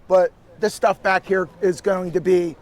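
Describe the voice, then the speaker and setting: A man's voice: sits high, steady tone, unhurried. A plain-spoken man giving an unscripted, matter-of-fact technical explanation as an expert guest. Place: outdoors at a convention.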